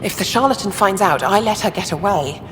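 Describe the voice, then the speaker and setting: A woman's voice: medium pitch, animated, fairly quick, a bit bright, big-room sound, slight background noise, lightly acted, low-quality recording. An actress playing a stern, clipped, authoritative female operative in a video game. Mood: displeased, commanding.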